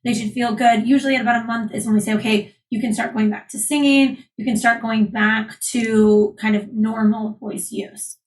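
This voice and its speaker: A woman surgeon, calmly explaining voice care as an interview guest. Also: a little high, normal speed, hardly any pauses, low-quality recording. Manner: clear, explanatory, calm. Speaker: laryngologist guest. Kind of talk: interview, explaining medical topics.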